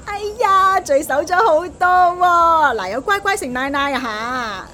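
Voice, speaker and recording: High-pitched doting childminder, Cantonese. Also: female voice, big pitch swings, unhurried, sharp tone, heavily acted. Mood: doting, cheerful. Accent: Hong Kong Cantonese. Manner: fussing, sighing, motherly. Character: kindly, doting neighbourhood childminder woman. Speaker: voice actor. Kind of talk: audio drama acting.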